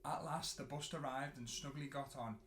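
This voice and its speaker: A man reading a picture book to children in an expressive storytelling style, with dramatic pauses and drawn-out words. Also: mid-range voice. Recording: roomy echo, clear background noise.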